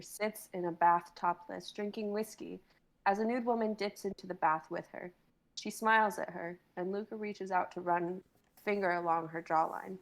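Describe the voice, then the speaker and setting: A woman's voice: on the low side, darkish tone, low-quality recording. A young adult woman in a reading group, chatting casually and reading out stage directions. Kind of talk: casual chat and script reading.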